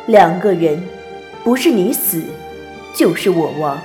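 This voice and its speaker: A woman's voice: on the low side, expressive, measured pace. A woman narrating a scripted wuxia drama trailer in a storytelling style. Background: new-age background music.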